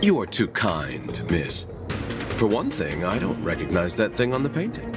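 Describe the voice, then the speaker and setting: Deep, suave gentleman. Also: huge pitch swings. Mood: suave, calm. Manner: polite, measured. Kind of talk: video game voice acting. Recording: loud game music behind the voice. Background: loud game music.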